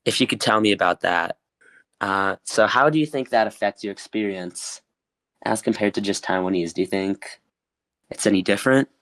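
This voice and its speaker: A man's voice: lowish voice, normal speed, fairly bright tone, low-quality recording. A young man from the United States hosting a casual podcast interview, hesitant as he searches for words. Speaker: podcast host.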